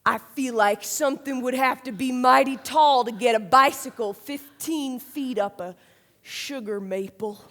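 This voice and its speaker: A woman's voice: sits high, unhurried, fairly bright tone, a little hiss or hum. A woman playing a hapless junior officer in a comedic stage dialogue. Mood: puzzled. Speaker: actor.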